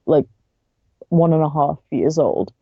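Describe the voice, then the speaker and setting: A woman from the UK with a British accent, talking casually and haltingly as a podcast guest, peppering her sentences with "like". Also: low-pitched, expressive, breathy edge, dry recording, phone-quality sound. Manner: halting, frequent "like". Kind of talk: casual interview storytelling.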